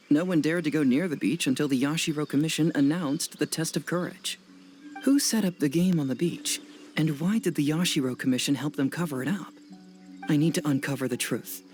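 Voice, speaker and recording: Composed, higher-pitched young detective played by a man. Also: darkish tone, natural voice. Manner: measured, formal, matter-of-fact. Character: composed young male detective, serious and analytical. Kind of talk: English video game dub acting.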